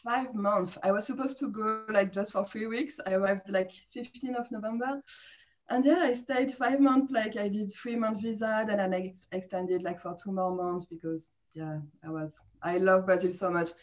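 A woman's voice: mid-range voice, steady tone, moderate pace, low-quality recording. A woman in her thirties from France with a French accent, chatting casually as a podcast guest, laughing often and using many fillers. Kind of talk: casual interview chat.

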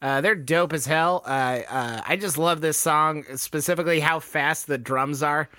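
A man's voice: a little high, moderate pace, sharp tone, no echo, studio quality. A man co-hosting a music podcast, talking loosely with lots of fillers, restarts and swearing. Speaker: podcast co-host. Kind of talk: casual music chat.

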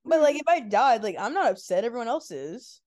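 A young woman with a slightly high voice chats casually as a podcast co-host. She is playful and slangy, often sounding mock-incredulous as she banters. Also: very animated, a little fast, a little mellow. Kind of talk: casual chat.

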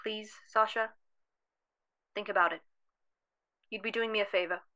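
A woman playing an earnest facility staff member in an audio drama, gently persuasive and pleading. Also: medium pitch, fairly even intonation, normal speed.

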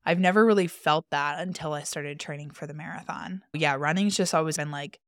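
A co-host in a casual chat reflects aloud at a mid pitch, speaking conversationally with plenty of fillers. The recording is dry and close, with no room sound. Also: brisk, fairly bright tone, no echo. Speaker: podcast co-host.

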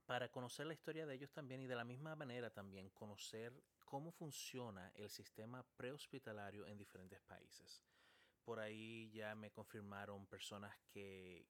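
Guy in his 30s talking solo on his podcast, kinda low voice, says eh a lot. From Chile, raised in Dominican Republic. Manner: conversational, with frequent filler "eh". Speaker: podcast host, paramedic.

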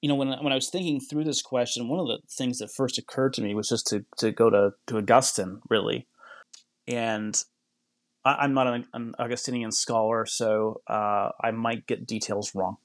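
Male podcast guest, mid-pitched, reflective and explanatory conversational delivery, dry recording. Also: fairly even intonation, normal speed, no room sound.

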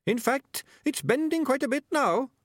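A man's voice: extremely high pitch, animated, normal speed, dry recording, clean studio sound, voice pushed up. A man voicing a plump, cheery little male rat in a storybook, with a small voice and polite, understated chirpiness. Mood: plucky, strained.

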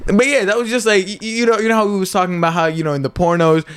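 A man's voice: high voice, fairly even intonation, rapid-fire, clear, resonant tone. A man hosting a casual podcast with friends, laughing often and swearing freely. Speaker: podcast host.

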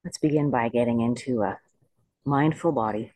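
A meditation teacher leading a guided body scan in slow, unhurried phrases with pauses. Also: medium pitch, a little mellow, dry recording, low-quality recording.

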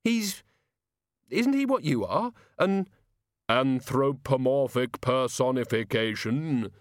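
A man's voice: medium pitch, lively intonation, takes it slowly, no room sound, studio quality, voice pushed up. A man voicing a forthright, indignant young woman in an audiobook, demanding and incredulous. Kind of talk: audiobook character reading.

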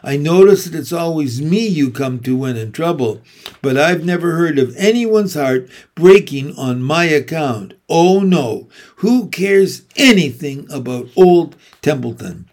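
A man voicing a sarcastic, self-pitying old male rat from a storybook, mocking and full of drawn-out complaint. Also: a little high, lively intonation, darkish tone. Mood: mocking, aggrieved.

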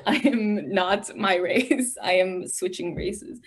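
Young American female, mid-range voice, casual and giggly, feeling out her thoughts as she talks. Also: mid-range voice. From United States. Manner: halting, giggly, thinks aloud. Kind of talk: casual chat.

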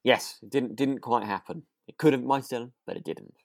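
A man's voice: medium pitch, brisk, dry recording, clean studio sound. A man co-hosting a podcast, recapping a drama in casual, agreeable chat with hesitant, trailing asides. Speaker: podcast co-host.

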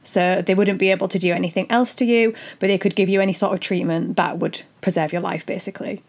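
A woman with a husky, fading voice, hosting an educational podcast and explaining things earnestly, step by step. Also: lowish voice, steady tone, fast talker, darkish tone. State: husky voice after illness. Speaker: podcast host and doula. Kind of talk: solo educational podcast talk.

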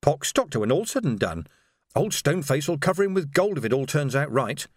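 A man's voice: on the high side, big pitch swings, brisk, no room sound, clean studio sound. A man voicing a fussy, grumbling household servant who chatters and gossips. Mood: gossipy.

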